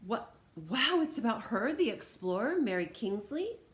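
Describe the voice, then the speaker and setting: A woman's voice: a little high, very animated, measured pace, voice pushed up. A woman voicing a boisterous, mischievous second-grade child in a storybook, exclaiming dramatically and eager to show off. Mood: amazed. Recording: roomy echo, background noise.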